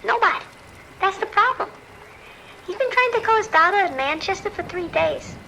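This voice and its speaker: Kind hotel desk worker played by a woman: strikingly high, anxious, familiar, slight noise behind, audio drama. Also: expressive, normal speed, vocal fry, pushed and bright, heavily acted, poor audio. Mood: worried, sympathetic. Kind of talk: naturalistic audio drama acting.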